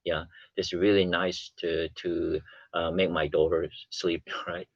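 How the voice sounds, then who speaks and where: A man chatting casually as a radio panelist, with halting, fragmented phrasing. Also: sits low, little pitch movement, no echo, highs cut off. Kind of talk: casual studio chat. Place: radio studio.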